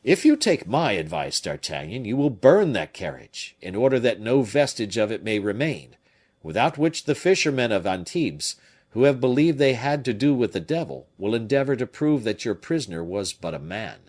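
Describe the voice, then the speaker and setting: A man reading an audiobook, voicing a calm, wise older male nobleman who gives advice in a measured way. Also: medium pitch. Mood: advising.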